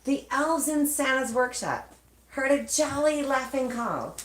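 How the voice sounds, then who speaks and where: A woman reading a children's storybook aloud expressively, with measured, dramatic phrasing and pauses. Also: high voice, animated, fairly bright tone. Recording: echoey, roomy sound.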